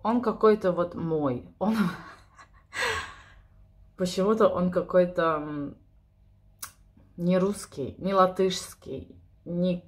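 Woman, 30s, chatty, self-ironic, home kitchen vlog, camera on table, lowish pitch. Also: drawn-out words, pauses often. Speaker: vlogger. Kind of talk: spontaneous casual chat to camera. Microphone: camera or phone on the table.

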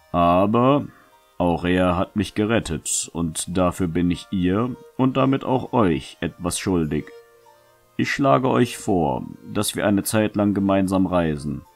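Man acting deep-voiced, measured fatherly knight. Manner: measured, formal, gently admonishing. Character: seasoned male knight, measured, fatherly mentor to youngsters. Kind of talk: fantasy audio drama acting.

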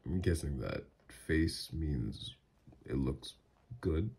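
A man playing a doting, easily flustered mafia boss lover, gruff yet flirtatious, in an intimate ASMR roleplay. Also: a bass voice, animated, takes it slowly, darkish tone, full character voice. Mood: affectionate, playful.

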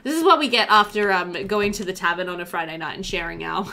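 A woman's voice: lowish voice, fast, creaky, sharp tone, a little hiss or hum. A young woman doing casual, chatty let's play commentary, thinking aloud as she plays, indoors at a computer. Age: young adult. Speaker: gaming video host.

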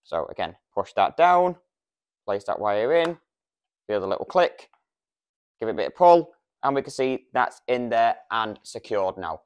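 A man's voice: on the low side, very animated, unhurried, long pauses, clear, resonant tone. British man giving a practical tutorial. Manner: practical, explanatory. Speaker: product demonstrator. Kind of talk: informal step-by-step tutorial. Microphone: lavalier lapel microphone.

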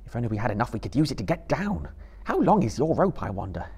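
Gentlemanly hobbit hero, male reader performing: earnest, resolute, well-spoken, lively husky tone for fantasy storytelling. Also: medium pitch, fast talker. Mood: pondering. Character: earnest, determined gentlemanly hobbit adventurer, thoughtful.

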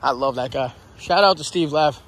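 A man working as an HVAC technician on a service call, talking practically and giving quick instructions to a helper. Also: medium pitch, a bit bright, slight background noise. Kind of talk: on-the-job service call vlog. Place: house and yard, indoors and outdoors.

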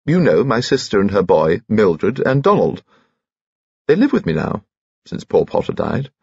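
A man voicing a small, round, cheerful aristocratic gentleman with an extraordinarily high-pitched voice and breezy, old-fashioned chatter. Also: big pitch swings, moderate pace, breathy edge, no room sound, dull audio, full character voice. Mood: chatty.

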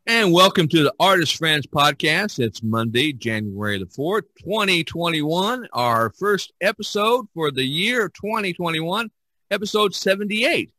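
Man, American accent, podcast host, halting casual delivery, crisp forward tone, very dry sound. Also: on the high side, big pitch swings, pushed and bright, no room sound. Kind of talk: casual podcast talk with announcing.

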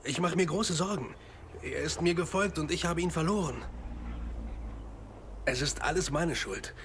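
A man's voice: on the high side, unhurried, close to an everyday voice. An actor playing an anxious, guilt-ridden father looking for his son in a dubbed war game. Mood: worried, guilty. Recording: faint background noise.

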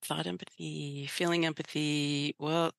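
A woman's voice: low voice, flat delivery, unhurried, creaky, no echo, studio quality. A woman co-hosting a therapy podcast, giving feedback lightly with laughter. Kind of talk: giving feedback on role-play.

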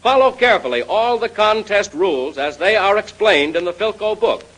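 An American man delivers scripted 1930s radio announcing. His voice is high and very animated, with a husky edge. It sounds like a vintage broadcast recording. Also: normal speed, low-quality recording.

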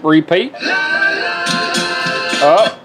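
A man's voice: on the high side, very slow, over loud music, poor audio. A man giving a casual, folksy, step-by-step product demonstration in an informal way. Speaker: vintage car radio restorer demonstrating. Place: indoor workshop bench.

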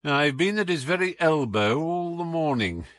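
A man's voice: on the high side, expressive, normal speed, a bit bright, no room sound, voice pushed up. A man reading an audiobook as a whimsical, imperious detective with a British accent, theatrical, commanding and wry. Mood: animated, wry. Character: brilliant, whimsical, imperious male English detective.

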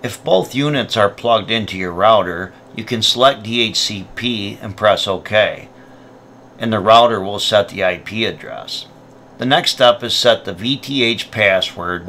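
A man's voice: lowish voice, sharp tone, slight background noise. A man presenting a product tutorial, explaining each step in a plain, methodical way. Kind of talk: step-by-step instructional narration.

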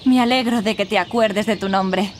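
An actress playing a kindly, encouraging fairy guide who gives patient instruction in a video game. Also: female voice, medium pitch, normal speed, a little hiss or hum, natural voice. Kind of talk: scripted game tutorial dialogue.

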